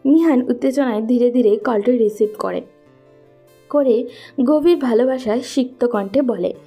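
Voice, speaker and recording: A woman reads scripted romantic fiction as narrator. Her voice sits a little high and sounds soft and mellow, with faint music behind it. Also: unhurried. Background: faint background music.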